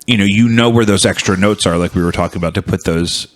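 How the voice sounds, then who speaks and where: A male host chats casually with his guest and works his way toward each point, with frequent ums and restarts. His voice is somewhat low and relaxed. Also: fast. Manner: thoughtful, hesitant, lots of ums and restarts. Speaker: podcast host. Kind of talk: casual interview chat. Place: home studio.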